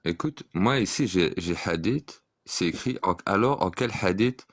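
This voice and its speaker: Man, very deep voice, dry sound, calm, methodical. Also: moderate pace, dry recording. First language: French speaker. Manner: calm, methodical, recites verses. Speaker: debater. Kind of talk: online debate.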